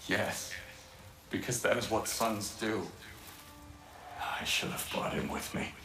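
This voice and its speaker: A man playing a weary, grieving treasure-hunting father in a video game, halting and sorrowful. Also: lowish voice, steady tone, gravelly, bright, forceful tone, echoey room, soft background music, heavily acted, rough recording. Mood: grief-stricken, resigned. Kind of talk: video game cutscene acting.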